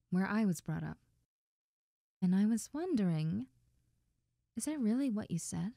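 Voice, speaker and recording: A woman playing a best friend's friendly, nosy older sister in an intimate roleplay audio drama, talking softly and coyly straight to the listener. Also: medium pitch, dry recording, clean studio sound. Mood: curious, coy. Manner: soft, gentle, coy, addressing the listener directly.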